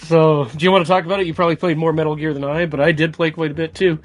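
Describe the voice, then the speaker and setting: Guy with a fairly high voice just chatting as a gaming podcast co-host. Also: level tone, very fast, over music. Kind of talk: casual chat about video games.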